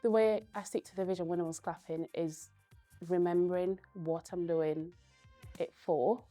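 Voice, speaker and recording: A young woman, a Spanish speaker from Spain, giving earnest, thoughtful interview answers and pausing often to gather her words. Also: lowish voice, fairly even intonation, dark tone, soft background music. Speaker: guest, powerlifter.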